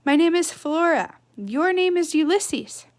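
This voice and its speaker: A woman voicing a gentle, plucky young girl in a children's book, earnest and direct. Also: very high-pitched, animated, measured pace, voice pushed up.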